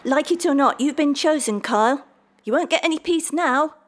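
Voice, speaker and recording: A woman voicing a mysterious little man, teasing, brisk and breezily insistent, for an audiobook story. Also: mid-range voice, big pitch swings, a little mellow, breathy edge, pitched above the performer's own voice. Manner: teasing, brisk, matter-of-fact.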